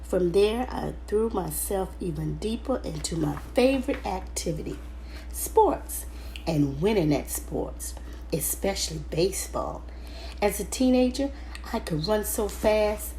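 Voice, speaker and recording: A woman reading a children's book aloud in first person, halting now and then over the words. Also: medium pitch, animated, dark tone, slight background noise, low-quality recording. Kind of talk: storybook read-aloud narration, first person.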